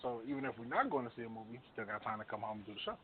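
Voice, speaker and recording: A man's voice: mid-range voice, animated, racing, crowd noise. A man co-hosting a radio show in casual chat, with a blunt, slangy way of talking. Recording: narrow tinny phone-like sound.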